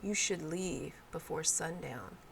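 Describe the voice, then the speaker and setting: A woman voicing a sinister elderly neighbor in a storybook tale, giving a quiet, calm warning in a creaky voice. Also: sits low, slow, a little hiss or hum, exaggerated voice, low-quality recording. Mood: calm menace. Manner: quiet warning, without bitterness. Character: sinister elderly woman neighbor, harmless-looking, calmly menacing.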